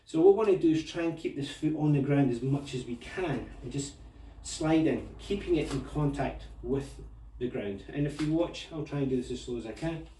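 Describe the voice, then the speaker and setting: A man's voice: on the high side, normal speed, slight background noise. A man with a Scottish accent giving a martial arts tutorial, talking through the moves as he demonstrates them. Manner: relaxed, talks through moves while demonstrating. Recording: echoey room, mic at a distance.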